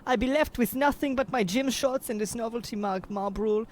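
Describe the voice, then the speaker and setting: A man's voice: very high voice, a little fast. A man playing a melodramatic, proud Bavarian boxer with a German accent in a comic radio play. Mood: distraught. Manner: pompous, overwrought, flowery. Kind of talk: old-time radio play parody.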